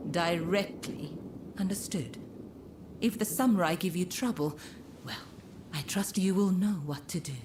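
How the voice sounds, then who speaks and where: A woman playing a poised, coy pleasure-district go-between in a video game, with measured phrasing and teasing pauses. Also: fairly low pitch, a little mellow, a little hiss or hum. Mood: firm, instructing. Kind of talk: dramatic video game dialogue.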